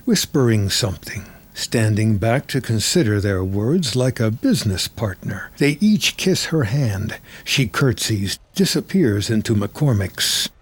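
A man reading scripted screenplay narration in the present tense, with a terse, descriptive storytelling delivery. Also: fairly low pitch.

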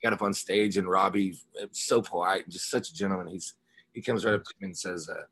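A man's voice: low voice, steady tone, brisk, a bit bright, slightly rough, no room sound. A man being interviewed as a musician, chatting informally and telling rambling anecdotes. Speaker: musician interview guest, rock singer and guitarist. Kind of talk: casual chat, telling anecdotes.

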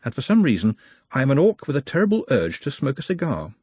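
A man voicing an earnest, polite, scholarly orc in a storybook, with formal, measured phrasing. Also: medium pitch, wildly swooping pitch, dark tone, slightly rough, dry recording, pitched above the performer's own voice. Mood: earnest, calm.

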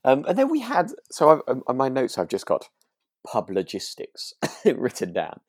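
A man's voice: medium pitch, huge pitch swings, normal speed, husky, no room sound, clean studio sound. A British man co-hosting a podcast in casual chat, agreeable and rambling, often hesitating as he goes. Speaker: podcast co-host.

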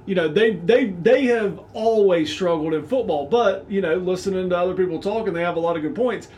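A man in his forties with a notably high voice leads a casual sports chat. He stumbles through repeated fillers and restarts before landing his point. A faint hiss sits under the recording. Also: brisk, a little mellow, muffled recording. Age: 40s. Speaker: co-host.

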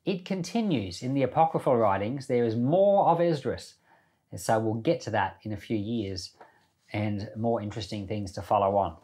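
A man's voice: fairly low pitch, normal speed, warm tone, slightly rough. A man hosting a Bible podcast, reading scripture expressively and with emphasis, then commenting on it informally. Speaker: Bible podcast host and reader.

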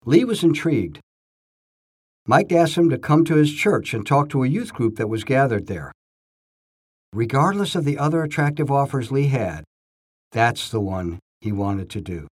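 A man's voice: medium pitch, darkish tone, husky. Man narrating an inspirational true story.

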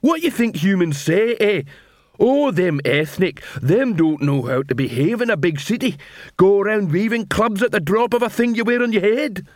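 A man voicing a big, dim but earnest male troll watchman, blunt, with broken grammar and a halting, laboured delivery. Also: high-pitched, expressive, no echo, clean studio sound, voice pushed up. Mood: exasperated.

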